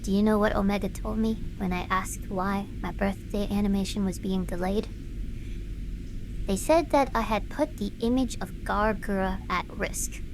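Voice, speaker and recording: A woman's voice: medium pitch, normal speed, noisy background, lightly acted. A woman playing a defiant young performer in an audio drama, intense, stubborn and earnest. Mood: bitter. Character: driven, defiant young woman performer, bitter but passionate.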